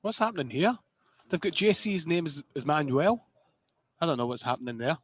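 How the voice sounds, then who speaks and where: A Scottish man calls a live poker stream in a relaxed, joking way. His voice sits a little higher than most men's. He teases and chats between hands. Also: fairly quick, slightly rough. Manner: chatty and joking, sings snatches of song. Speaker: poker commentator. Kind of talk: casual live commentary.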